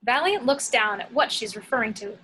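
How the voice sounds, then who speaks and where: A woman's voice: fairly high pitch, animated, fairly quick, sharp tone, rough recording. A woman reading action descriptions from a film script as scripted narration. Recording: clear background noise, home recording.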